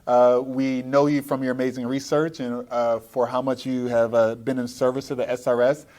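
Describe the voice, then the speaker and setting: A man hosting an interview podcast, speaking with halting, hesitant phrasing as he puts questions to a guest. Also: medium pitch, flat delivery, a little mellow. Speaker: podcast host. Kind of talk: interview, live podcast recording. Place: at a conference in Seattle.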